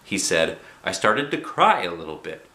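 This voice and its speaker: Animated man reading a storybook aloud. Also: deep voice, normal speed, roomy sound. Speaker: dad reading a children's book aloud.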